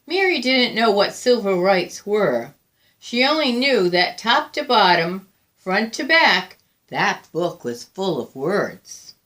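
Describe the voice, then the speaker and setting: A woman reading a children's picture book aloud with deliberate, expressive phrasing. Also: mid-range voice. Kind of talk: read-aloud children's storytelling.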